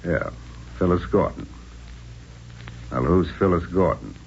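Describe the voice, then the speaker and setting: An American man playing a cool, tough, wisecracking adventurer in a 1940s radio drama, gravelly and dryly sardonic. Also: expressive, a little slow, a little mellow, exaggerated voice. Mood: sardonic, unruffled. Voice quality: deep, gravelly. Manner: dry, sardonic, unruffled. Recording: dull, noisy old radio recording.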